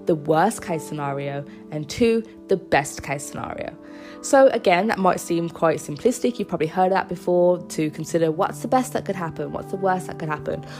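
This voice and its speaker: A young woman with a cold and a stuffy nose, hosting a casual solo podcast in an earnest, reassuring way. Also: lowish voice. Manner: earnest, reassuring, with small laughs. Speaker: podcast host.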